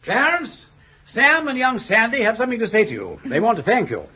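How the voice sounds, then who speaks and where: An actor playing a jaunty, persuasive older English gentleman in a radio comedy, with an upper-class accent and a breezy, coaxing manner. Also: very high-pitched, wildly swooping pitch, fairly quick, husky, full character voice. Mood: breezy, firm. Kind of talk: British radio comedy drama.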